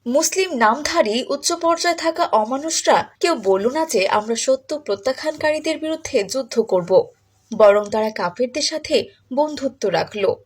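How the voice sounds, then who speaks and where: A woman narrates a scripted story in a high voice. There is a noticeable husky, breathy edge to it. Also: animated, normal speed.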